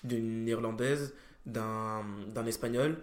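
A man's voice: medium pitch, fairly even intonation, slow pace, reverberant. A young French man hosting a casual chat, hesitant and full of fillers and restarts. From France. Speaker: podcast host.

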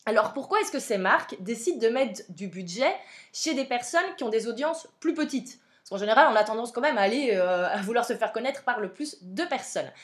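A woman's voice: on the high side, animated, fairly quick. A young woman with a Belgian French accent hosting a podcast, chatty and frank with plenty of fillers. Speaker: podcast host. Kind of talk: casual advice podcast.

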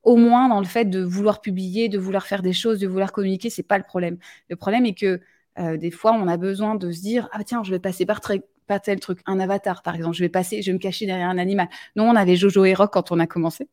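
A woman's voice: mid-range voice, steady tone, fast, dark tone. A woman hosting a live talk, speaking directly and plainly, often restarting her sentences. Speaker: live show host. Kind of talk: casual live discussion.

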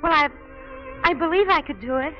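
An American actress playing a naive, devoted young stage hopeful in a 1940s radio drama. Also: female voice, sits high, animated, brisk. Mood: wistful, hesitant. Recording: dull 1940s radio recording, faint music.

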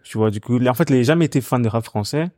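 A young man from Ghana with a Ghanaian accent, a music artist telling his story in a relaxed, casual chat, with lots of fillers and repeated phrases. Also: mid-range voice, quick pace, dry recording, clean studio sound. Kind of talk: casual conversation.

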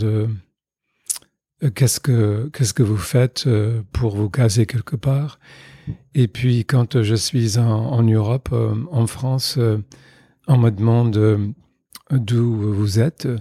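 An American man from Boston speaking French with a slight accent, answering thoughtfully with many pauses. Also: mid-range voice, fairly even intonation, warm tone, slightly rough, no room sound, clean studio sound. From United States, Boston. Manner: hesitant and reflective, with many pauses. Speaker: guest.

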